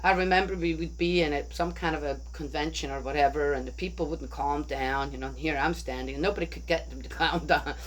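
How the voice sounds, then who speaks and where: A woman's voice: deep voice, fairly even intonation, fairly quick, hardly any pauses, slight background noise, low-quality recording. A woman talking casually through a bible story, thinking aloud and often asking her listeners "right?". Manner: chatty, rhetorical, keeps asking "right?". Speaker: bible study speaker.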